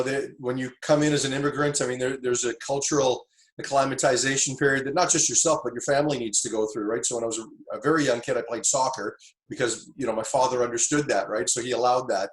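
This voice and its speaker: A man's voice: mid-range voice, steady tone, quick pace. Reflective middle-aged man in a roomy space. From Tanzania. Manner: reflective, many fillers and restarts. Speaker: football coach, interview guest. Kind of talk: casual interview storytelling.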